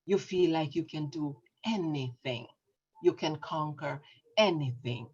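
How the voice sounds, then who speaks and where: A woman leading a guided meditation, speaking softly and soothingly, drawing out her words. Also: sits low, husky. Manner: soft, slow, soothing with drawn-out words. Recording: dull lo-fi recording.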